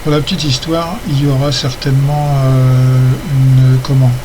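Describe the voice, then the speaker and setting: A man's voice: mid-range voice, level tone, normal speed. A man chatting casually as an amateur radio operator, rambling and hesitant. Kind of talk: casual ham radio chat. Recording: noisy amateur radio session recording.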